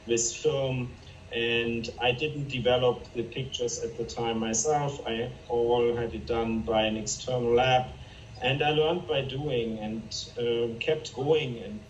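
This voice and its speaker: A man's voice: medium pitch, a bit bright, echoey room, muffled recording, slight background noise. German-accented man on a distant video call. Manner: earnest, halting with many fillers. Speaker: interview guest, photographer.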